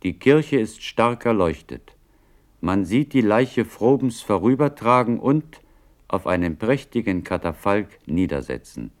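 A man narrating stage directions for a radio play in a formal, deliberate, scripted manner. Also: fairly low pitch, very animated.

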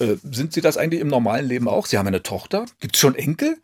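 Quick-talking, husky male radio host. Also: mid-range voice, big pitch swings, dry recording, studio quality. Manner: chatty, many fillers and tag questions. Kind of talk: radio interview. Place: radio studio.

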